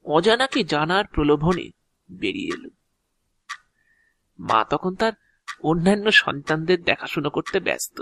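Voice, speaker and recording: A man's voice: medium pitch, gravelly, bright, forceful tone, dry recording, heavily acted. An actor playing an ancient, talkative agent of Death in an audio drama, explaining his work proudly in a theatrical, officious yet chatty way. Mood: wistful storytelling. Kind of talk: Bengali audio drama acting.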